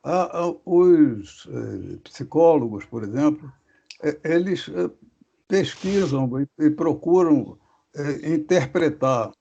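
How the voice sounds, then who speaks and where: A man speaks slowly and haltingly, stopping often to search for his words as he leads a religious study discussion. His voice is gravelly and a touch higher than most men's. The audio comes through a dull, lo-fi video call. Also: lively intonation, dark tone.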